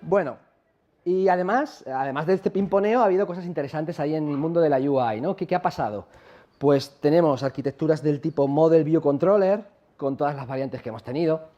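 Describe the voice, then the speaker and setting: A man's voice: fairly high pitch, a little fast, mellow, highs cut off. A man from La Mancha with a Castilian Spanish accent, giving a chatty conference talk and throwing questions at the audience. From La Mancha, Spain. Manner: chatty, asks the audience questions, many tag questions. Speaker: conference speaker. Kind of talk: live tech conference talk.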